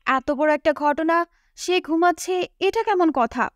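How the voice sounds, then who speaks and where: A woman voicing an excitable, easily amazed middle-aged mother in an audiobook story, with a gushing manner. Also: very high voice, measured pace, no room sound, clean studio sound, voice pushed up. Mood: amazed, excited. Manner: breathless amazement, girlish and gushing.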